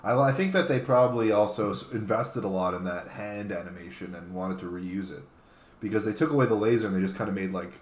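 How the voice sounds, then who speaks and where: A man's voice: lowish voice, fast. A man co-hosting a casual, joking panel chat. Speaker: talk show co-host. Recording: echoey room.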